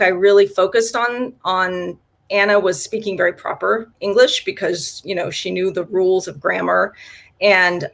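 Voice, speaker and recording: A woman's voice: medium pitch, creaky, fairly bright tone. A woman whose first language is German, an author answering interview questions in a chatty way, with many fillers and restarts.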